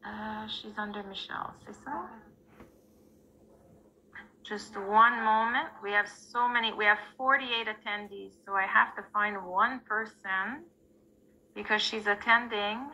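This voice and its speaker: A woman's voice: medium pitch, steady tone, slow pace, bright, forceful tone, a little hiss or hum. A woman hosting an online event, introducing the next speaker with hesitant, apologetic restarts. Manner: hesitant, apologetic, switching French and English. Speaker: event host. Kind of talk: introducing a speaker on a video call. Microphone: video call. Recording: dull lo-fi call audio.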